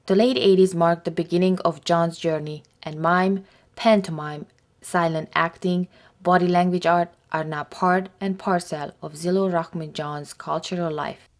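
A woman reading scripted documentary narration in a measured, even way. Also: fairly low pitch.

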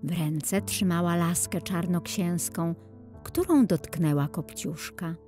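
A woman reading a scripted fairy tale for children in a measured, expressive storybook manner. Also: lowish voice. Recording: music under the voice. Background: music.

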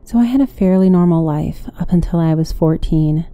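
A woman tells a scripted horror story in the first person. Her voice is very dark and mellow and sits a little low, recorded dry with no room sound. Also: moderate pace, no room sound, muffled recording, soft background music. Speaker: guest story narrator.

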